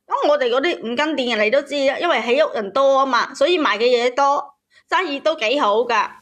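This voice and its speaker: A woman's voice: high-pitched, brisk, a bit bright. A woman calling in to tell a personal story, repeating phrases for emphasis. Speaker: caller telling her story. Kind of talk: emotional personal storytelling to a host.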